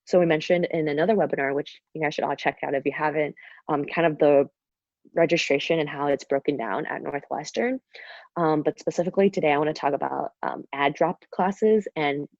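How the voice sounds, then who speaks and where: Young woman, very low voice, chatty webinar host, dry muffled call audio. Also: a little mellow, no room sound. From Shanghai, China. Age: young adult. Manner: chatty, many fillers and "kind of". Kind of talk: casual presentation.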